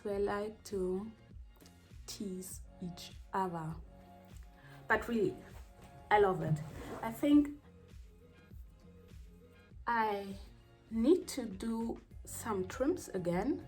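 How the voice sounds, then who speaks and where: A woman with a strong German accent talks in a slow, unhurried way, drifting from thought to thought with plenty of pauses and "um"s. It is a relaxed vlog chat recorded on a voiceover mic, with soft music playing quietly underneath. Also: mid-range voice. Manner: unhurried, rambling, with many pauses and fillers. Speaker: hair vlogger. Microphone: voiceover microphone.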